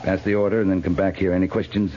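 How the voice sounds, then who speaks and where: An actor playing a stern, commanding US cavalry captain in a 1950s radio drama, with a clipped, authoritative manner. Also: male voice, sits low, little pitch movement, rapid-fire, dark tone, highs cut off, close to an everyday voice. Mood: firm, commanding. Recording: noisy, band-limited 1950s radio recording.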